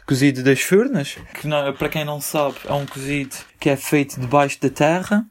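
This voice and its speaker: A man's voice: fairly high pitch, a little fast, a little hiss or hum. A man from the Azores with an Azorean Portuguese accent, a remote guest giving travel tips hesitantly. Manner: hesitant, with fillers and restarts. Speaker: guest contributor. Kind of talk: remote recommendations.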